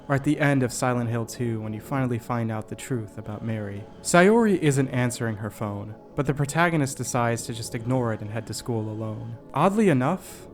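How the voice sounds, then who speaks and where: A man with a medium-pitched voice narrates a scripted game video essay. He tells it like a story and slips in dry, self-deprecating asides. Music plays behind his voice. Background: background music.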